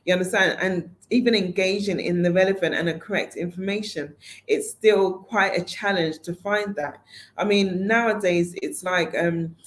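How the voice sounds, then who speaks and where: A woman's voice: fairly low pitch, normal speed. A woman organizing a network event, talking casually to her audience in a conversational way, with many fillers and restarts. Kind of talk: casual online talk to an audience.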